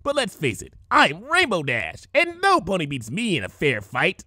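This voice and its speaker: Cartoon pegasus flyer, a man performing: brash competitive female, raised pitch, crowing and boastful. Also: huge pitch swings, normal speed, pushed and bright, no room sound, heavily acted. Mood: cocky.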